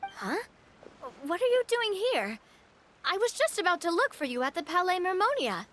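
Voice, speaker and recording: Brief: a self-assured young game heroine, high lively voice, breezy. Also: female voice, moderate pace, a little hiss or hum, exaggerated voice. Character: confident young woman gang leader, breezy and sharp. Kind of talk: video game voice acting.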